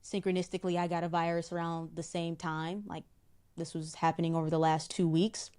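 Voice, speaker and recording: A middle-aged woman, a psychic guest in an interview, explaining in a measured way and often repeating a word while she finds the next. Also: fairly low pitch, flat delivery, a little mellow, clean tone. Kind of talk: spiritual interview talk.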